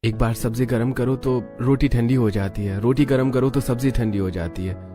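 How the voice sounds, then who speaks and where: A man's voice: mid-range voice, fairly even intonation, moderate pace, over music, lightly acted. A man playing a complaining young office-going husband in a moral story. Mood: dissatisfied. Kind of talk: acted dialogue in a moral story.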